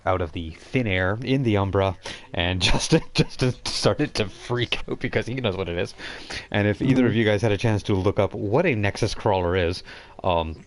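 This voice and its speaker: A man hosting and narrating a tabletop role-playing game as game master, explaining things in an informal, halting way. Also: on the low side, a little hiss or hum. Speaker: game master and stream host.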